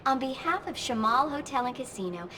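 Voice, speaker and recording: High female voice, courteous receptionist, chirpy, scripted politeness, game cutscene, faint rumble. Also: expressive, brisk, sharp tone. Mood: chirpy, polite. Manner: scripted customer-service politeness. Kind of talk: video game cutscene acting.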